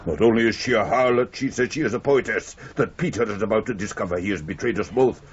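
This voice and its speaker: An actor in a radio drama playing a jilted, vengeful poet with a pompous, grandiloquent, theatrical manner. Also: male voice, lowish voice, fast, husky. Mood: grandly vengeful. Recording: dull muffled recording with background noise.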